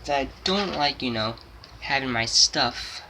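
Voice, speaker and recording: A man's voice: medium pitch, lively intonation, unhurried, bright, forceful tone, a little hiss or hum, rough recording. A seventeen-year-old young man talking casually to his channel, rambling and repeating himself with many restarts. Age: 17, about to turn 18. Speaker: gaming channel host. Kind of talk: casual unscripted monologue.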